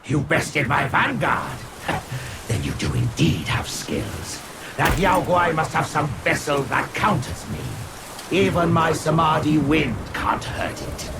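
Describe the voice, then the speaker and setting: A proud demon lord who lays out his plan in slow, measured, self-assured tones. His voice sits a little high and moves with lively pitch. Heavy noise sits under the game audio. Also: full character voice. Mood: scheming. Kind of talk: video game cutscene acting.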